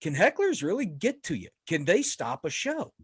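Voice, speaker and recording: A man's voice: on the high side, huge pitch swings, moderate pace, breathy edge, no echo, clean studio sound. A man hosting a casual interview show, upbeat and chatty as he asks questions. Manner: upbeat, chatty, laughs a lot. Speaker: podcast host.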